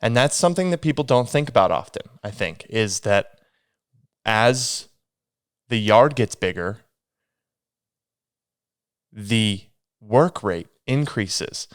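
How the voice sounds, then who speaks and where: A man's voice: on the low side, lively intonation, slow, long pauses, clean tone, no echo, clean studio sound. A young man hosting a solo podcast, rambling casually with a sniffly, stuffy nose. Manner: rambling, self-deprecating, meandering restarts. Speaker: podcast host.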